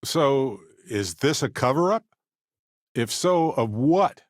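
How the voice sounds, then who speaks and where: A man voicing an upbeat, wisecracking, warm-hearted space explorer companion in a video game, chatty and wry with theatrical emphasis. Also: medium pitch, expressive, slow, no room sound, studio quality. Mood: excited, pressing.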